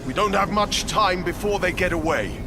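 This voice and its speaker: A man's voice: high voice, moderate pace, creaky. A man playing a resolute space marine commander in a video game, speaking formally and with authority. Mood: urgent, commanding. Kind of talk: dramatic video game cutscene acting. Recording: echoey, faint background noise.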